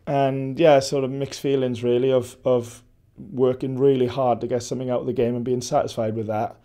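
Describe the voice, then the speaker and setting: A Welsh man in his forties, a football head coach, giving a measured post-match interview with many restarts and fillers. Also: medium pitch, level tone, dark tone. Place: indoor press backdrop at a stadium.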